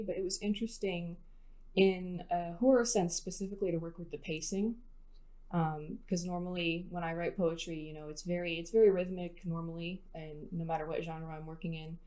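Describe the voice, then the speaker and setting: A woman's voice: fairly low pitch, dark tone. A woman poet answering interview questions in a reflective, informal, conversational way. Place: recording studio.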